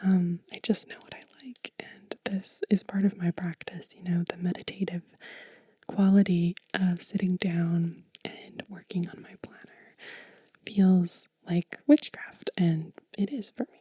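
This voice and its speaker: A woman giving a gentle, informal ASMR show and tell in a breathy, soft whisper, unhurried and close to the microphone. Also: on the low side, fairly even intonation, no echo. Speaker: ASMR channel host.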